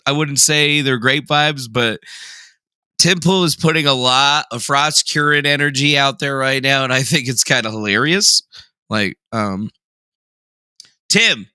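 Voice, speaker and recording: A man's voice: medium pitch, measured pace, bright, forceful tone, clear, resonant tone, dry recording. A young man casually hosting a livestream, joking sarcastically and reading viewer messages aloud. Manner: jokey, sarcastic, self-mocking; reads chat aloud and reacts. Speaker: livestream host.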